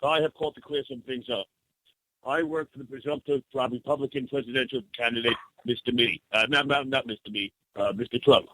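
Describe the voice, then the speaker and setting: A man's voice: mid-range voice, steady tone, brisk, fairly bright tone, no echo, natural voice, low-quality recording. A man playing a blustering, flustered campaign staffer on a prank call to a radio show, pompous and stumbling over names. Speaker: prank caller.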